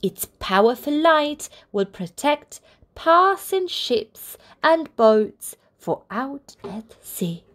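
A woman reading a picture book aloud for toddlers, with deliberate, expressive phrasing and encouraging asides. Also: sits high. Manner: deliberate, expressive phrasing aimed at small children. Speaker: children's storybook reader, channel host.

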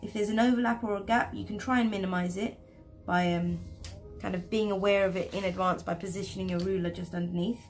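A woman's voice: medium pitch, steady tone, a little fast, faint music behind. Chatty woman teaching a drawing tutorial. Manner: chatty, self-deprecating asides. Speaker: art teacher.

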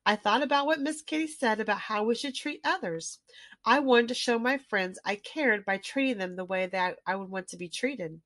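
A woman reading a children's storybook aloud in an expressive storytelling manner. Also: a little high, moderate pace, no room sound. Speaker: children's book reader. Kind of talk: scripted storybook read-aloud.

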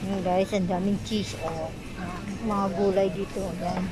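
A woman's voice: medium pitch, steady tone, unhurried, low-quality recording. A woman narrating a casual vlog on her phone while she shops in a supermarket, chatty and laughing often. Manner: chatty, mixes English and Filipino, laughs often. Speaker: vlogger. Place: large indoor supermarket. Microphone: phone camera held while walking.

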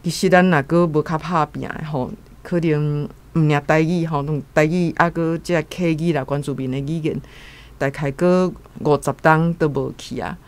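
A woman's voice: sits low, steady tone, normal speed. A middle-aged woman with a Taiwanese accent giving earnest, admonishing commentary as a guest. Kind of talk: reflective spoken commentary.

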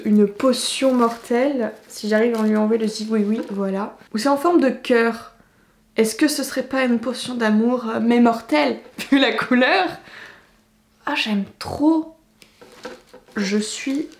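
A young woman chatting casually to camera as a book vlogger, playful and expressive, with a slight head cold. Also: fairly high pitch. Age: young adult. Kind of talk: casual unboxing chat to camera. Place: small indoor room with bookshelves. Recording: roomy echo, faint background noise.

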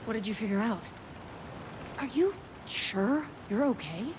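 A woman's voice: a little high, lively intonation, measured pace, background noise. An actress playing a wry, determined young woman in a video game. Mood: concerned.

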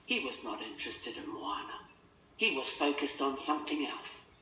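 A man narrating a scripted children's story in a measured, expressive storytelling manner. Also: medium pitch, a little hiss or hum. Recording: roomy, distant, music behind.

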